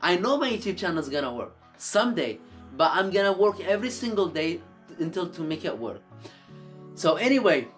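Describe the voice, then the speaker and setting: A man's voice: sits high, sing-song, a little fast, fairly bright tone, soft background music. A man whose first language is Arabic, giving earnest motivational advice to camera in a YouTube video, often repeating and restarting his words.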